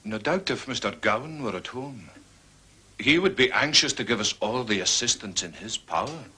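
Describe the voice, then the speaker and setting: Dogged inspector, period mystery: rough-edged husky tone, forceful, animated intonation, methodical and formal. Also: male voice, lowish voice, normal speed, full character voice. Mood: official, probing. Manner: ponderous wording, methodical questioning. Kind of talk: period detective drama acting.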